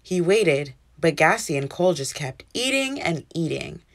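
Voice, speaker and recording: A woman reads a children's story aloud. Her narration is animated and expressive, with pitch that moves widely. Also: moderate pace, vocal fry. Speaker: children's book reader.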